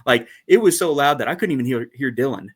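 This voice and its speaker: A man co-hosting a fan podcast in a casual, animated chat, laughing often and using lots of fillers. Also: mid-range voice, fast, slightly rough. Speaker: podcast co-host.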